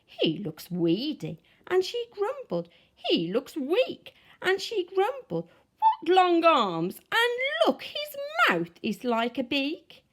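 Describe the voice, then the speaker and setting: A woman's voice: sits high, huge pitch swings, takes it slowly, a bit bright, voice pushed up, heavily acted. Field: woman voicing a grumbling mother tyrannosaurus. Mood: disgusted. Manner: grumbling and disapproving. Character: fierce, dim mother tyrannosaurus.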